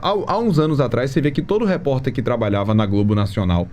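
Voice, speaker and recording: A man's voice: mid-range voice, quick pace, a little mellow, highs cut off. A Brazilian man on a sports talk panel, explaining his view earnestly. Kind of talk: casual sports talk chat.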